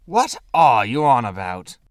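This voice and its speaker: A man plays an irritable space captain in a comic audio drama. His mid-pitched delivery swings widely, brusque and exasperated, in a dry recording. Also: very animated, a little slow, no room sound. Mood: dismissive. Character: irritable, bossy male spaceship captain and pilot. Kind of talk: sci-fi sitcom audio drama acting.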